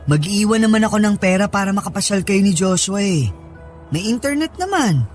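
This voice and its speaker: A man's voice: high-pitched, sing-song, brisk, a little mellow, faint music behind. A man playing an affectionate young husband in a radio drama, talking in a casual, coaxing, reassuring way.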